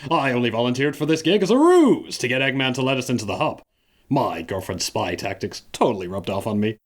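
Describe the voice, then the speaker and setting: A man's voice: medium pitch, sing-song, a little fast. A man playing a stubborn, boastful cartoon echidna hero in a fan audio drama, pompous and melodramatic. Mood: gleeful, boastful.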